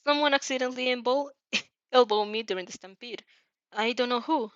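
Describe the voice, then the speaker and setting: A woman voicing a shy, soft-spoken schoolgirl who is anxious and evasive, in fan fiction dialogue read aloud. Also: on the high side, moderate pace, no room sound, natural voice. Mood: flustered. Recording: slightly dull, dry recording.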